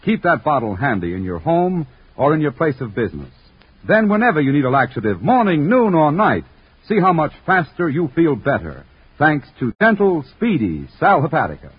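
An actor playing a cheerful announcer and comic sidekick in 1940s radio style. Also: male voice, a little high, very animated, moderate pace, husky, heavily acted. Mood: selling, emphatic. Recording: muffled 1940s radio recording.

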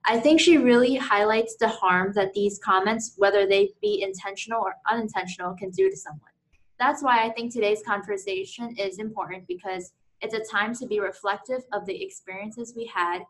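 A woman hosting a group discussion, reading a measured, reflective introduction. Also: mid-range voice, low-quality recording. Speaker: segment host.

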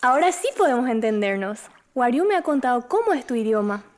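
A woman playing a gentle, playful girl from the moon in an audio drama. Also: on the high side, big pitch swings, a little fast. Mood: friendly, earnest. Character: playful, gentle moon girl, mysterious blue-haired child visitor.

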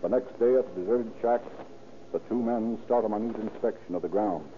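A man's voice: on the low side, a little fast, a little hiss or hum. A man narrating a scripted 1930s radio crime drama in a formal, dramatic manner. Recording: tinny old radio recording. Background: music.

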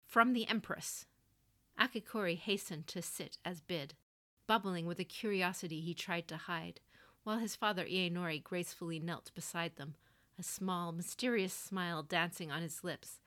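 Female audiobook narrator, slightly low pitch, scripted fantasy prose. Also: moderate pace, fairly bright tone. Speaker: author reading her own story.

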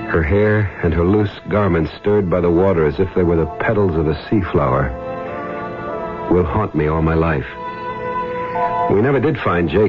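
An actor playing a haunted, wistful male treasure diver, brooding and lyrical, in a 1970s radio drama on a muffled recording with music. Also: low voice.

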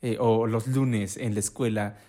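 A man with a Mexican accent chatting casually as a podcast co-host, swearing often and acting out quotes in an animated way. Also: fairly low pitch, measured pace. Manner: slangy, profane and animated, acts out quotes. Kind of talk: casual conversation.

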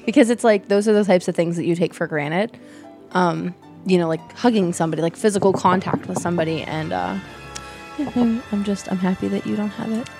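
A woman with a raspy voice co-hosting a podcast in casual chat, upbeat and full of fillers. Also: fairly low pitch, fairly quick, dark tone, faint music behind. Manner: chatty, filler-heavy, upbeat. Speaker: podcast co-host.

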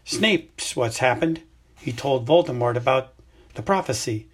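A man voicing a stubborn, angry teenage boy wizard who speaks in curt, tense bursts, with a husky voice. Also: mid-range voice, expressive, measured pace. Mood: furious.

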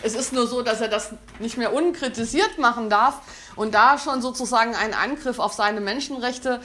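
Woman talking about language, kinda high voice, lots of ähms and restarts. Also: moderate pace, fairly bright tone, slight background noise. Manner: hesitant, many fillers and restarts. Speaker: speaker on language and society. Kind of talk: thoughtful spoken lecture.

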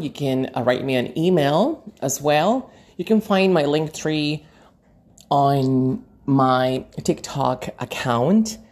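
A woman from Peru, hosting a podcast and reflectively telling a personal story with many pauses and fillers. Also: deep voice, a little mellow. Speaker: podcast host and English teacher.

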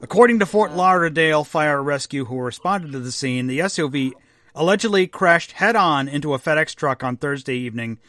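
A man's voice: fairly high pitch, fairly quick, a bit bright, no room sound. A man in his fifties co-hosting a casual podcast chat, reading news items aloud and laughing easily. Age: 50s. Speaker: podcast co-host.

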